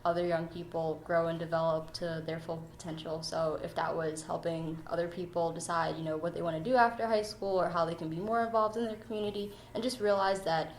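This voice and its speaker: A woman's voice: fairly low pitch, steady tone, a little fast, few pauses. A nineteen-year-old woman from New York City giving a personal interview as an officer of a youth organization. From New York City, United States. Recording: echoey room with faint noise.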